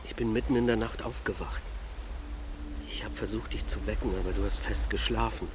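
A man acting as a restless middle-aged father in a German radio drama. His low voice is hushed and reflective, feeling its way through a confession. Clear ambient noise sits behind him. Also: fairly even intonation, a little fast, natural voice. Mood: uneasy, confessing.

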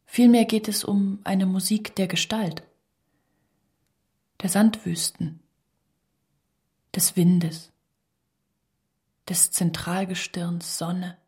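Low-pitched woman reading literary prose. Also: fairly even intonation, slow pace, long pauses, mellow. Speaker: reader of a literary radio text. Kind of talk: scripted literary narration.

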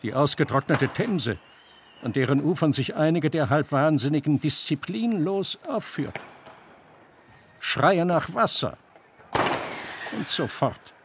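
An actor playing a stiff, aggrieved army general giving a formal, pompous report in a radio drama. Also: male voice, on the high side, expressive, measured pace, pauses often, slight background noise. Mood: indignant, regretful.

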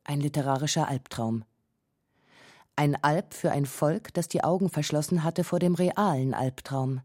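Low, mellow female documentary narrator. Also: a little slow, dry recording. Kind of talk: scripted narration. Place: dry studio.

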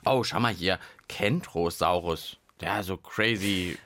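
A man hosting a children's podcast in a lively, playful, conversational chat. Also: deep voice, a little slow, no room sound. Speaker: podcast host.

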